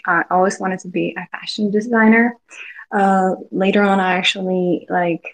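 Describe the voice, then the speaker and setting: A woman's voice: mid-range voice, rough recording. A woman from the Czech Republic, a guest fashion designer, speaking earnestly with some hesitation. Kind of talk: casual conversation.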